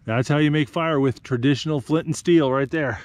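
A man in his thirties hosting an outdoor vlog, explaining bushcraft skills in a relaxed, practical way while out in a forest. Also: on the high side, a little fast, slight background noise. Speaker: outdoor channel host. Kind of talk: casual outdoor vlog narration. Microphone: action camera, worn on the body or close up.

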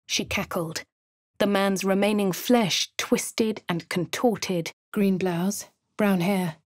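An actress with a posh English accent playing a composed adventurer in a game, speaking in a dry, measured, lightly sardonic way. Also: female voice, medium pitch, fairly even intonation, no echo. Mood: wry. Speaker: player character. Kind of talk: game voice lines. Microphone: studio mic.

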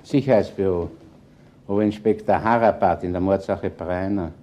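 An actor playing a shrewd, unhurried Viennese police inspector in a radio crime play, with an Austrian accent. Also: male voice, low-pitched, fairly even intonation, dull audio, background noise, lightly acted. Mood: matter-of-fact. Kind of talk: naturalistic radio crime drama acting.

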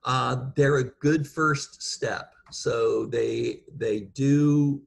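Very gravelly man, measured explainer. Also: mid-range voice, steady tone. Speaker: guest speaker, seafood industry professional. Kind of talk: informal expert talk answering questions. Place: video call.

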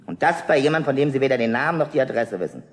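A male actor plays a pompous, officious neighbour in an old radio play. He is suspicious and nosy, with stiff formal phrasing and a mid-pitched voice that rises and falls widely. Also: animated, rapid-fire, natural voice. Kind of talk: 1970s German radio play acting.

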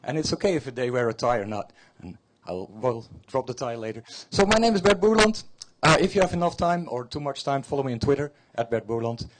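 A man's voice: medium pitch, big pitch swings, fairly quick, few pauses. A man closing a short slide talk at a conference in a casual way, with joking asides. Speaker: conference speaker. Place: conference hall.

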